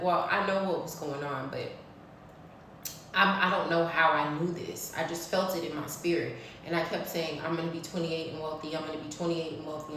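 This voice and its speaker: A woman's voice: on the low side, pushed and bright, recorded from a distance, a little hiss or hum. A woman in her thirties giving a blunt, preachy spiritual talk to her viewers, swearing freely. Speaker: spiritual vlogger. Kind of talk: casual spiritual talk to camera. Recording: echoey room, voice off-mic.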